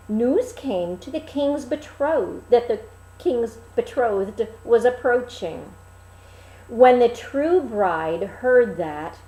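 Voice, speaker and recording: A woman reading a fairy tale as an expressive storyteller, in a theatrical, deliberate manner. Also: high voice. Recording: roomy, echoing room.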